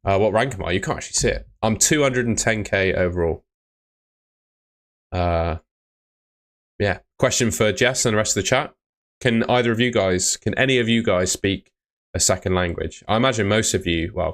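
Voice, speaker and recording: Young male streamer, low voice, relaxed and chatty, reading viewer comments. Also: fairly quick. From Bristol, England. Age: young adult. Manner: relaxed, chatty, answers chat questions. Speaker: fantasy football streamer. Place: small room indoors.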